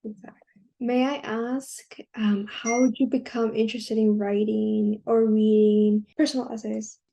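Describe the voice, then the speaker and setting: A woman's voice: a little high, level tone, a little slow, vocal fry, mellow, muffled recording. A woman interviewing a writer for a literary journal, asking friendly questions with hesitant phrasing.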